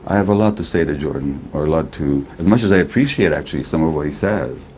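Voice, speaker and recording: An older man with a very deep, dark and mellow voice, talking in a measured, deliberate way. Faint noise sits under the played-back interview clip. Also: expressive. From Hungary. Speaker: therapist, interviewee.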